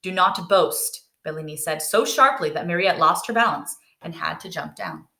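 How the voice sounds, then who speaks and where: A woman's voice: low voice, animated, fairly quick, pushed and bright. A woman reading a children's story aloud, narrating expressively. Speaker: storybook reader. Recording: roomy indoor echo.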